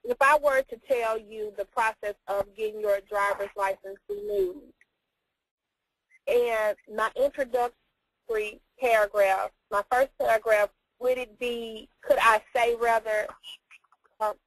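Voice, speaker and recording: A woman student speaks informally in a slightly high, dry-sounding voice. She is unsure and apologetic, circling around her questions as she asks for help. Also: expressive, slow pace, long pauses, a bit bright, no room sound, low-quality recording. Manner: hesitant, unsure, apologetic, rambling. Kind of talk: informal question on a class call.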